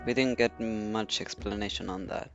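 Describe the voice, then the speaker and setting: A man's voice: on the low side, steady tone, moderate pace, lo-fi sound. A man giving casual, musing commentary as a game streamer, hesitant as he thinks aloud. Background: soft game music.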